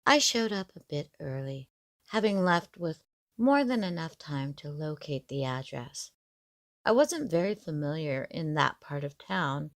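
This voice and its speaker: A woman reads a scripted story with measured expression. Her pace is slow and her slightly low voice has plenty of vocal fry. The sound is dry and close. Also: no echo. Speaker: horror story narrator. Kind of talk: scripted first-person story narration.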